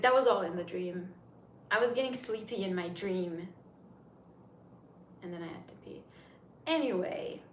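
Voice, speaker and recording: Turkish-born woman chatting in an echoey room. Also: mid-range voice. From Turkey. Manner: chatty, rambling, reading and answering viewer comments. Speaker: livestream host. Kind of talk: casual livestream chat. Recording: echoey room with faint noise.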